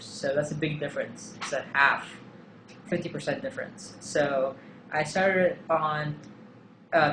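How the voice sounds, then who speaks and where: A man in his twenties talking casually to camera in a personal vlog, hesitant, with many fillers and self-corrections. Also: a little high. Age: 20s. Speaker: vlogger. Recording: echoey room with clear background noise.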